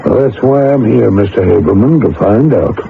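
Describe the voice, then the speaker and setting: A man's voice: fairly low pitch, normal speed, vocal fry. An actor playing a dogged, literal-minded male federal agent who questions people officiously in a radio drama. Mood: probing. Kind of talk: 1980 radio drama acting. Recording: lo-fi old radio broadcast, faint noise.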